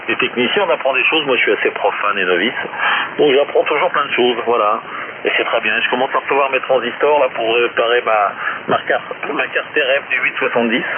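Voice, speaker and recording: Man on a narrow, noisy shortwave radio. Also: medium pitch. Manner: informal, self-deprecating, colloquial. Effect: shortwave radio transmission. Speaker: amateur radio operator. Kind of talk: casual chat over ham radio. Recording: narrow-band shortwave radio with clear static.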